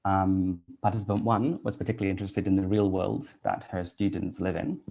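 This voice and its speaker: A man in his thirties from Wales, a teacher and researcher giving a prepared conference talk in a measured, read-out way with soft "um" fillers. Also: deep voice, flat delivery, vocal fry, dull audio.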